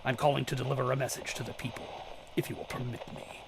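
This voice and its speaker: A man playing a grim, mysterious vigilante in a radio drama, speaking formally and ominously in a gravelly half-whisper. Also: mid-range voice, little pitch movement, rough recording. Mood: cryptic, resolute. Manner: slow, ominous, formal speech. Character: grim mysterious male vigilante, cryptic and resolute.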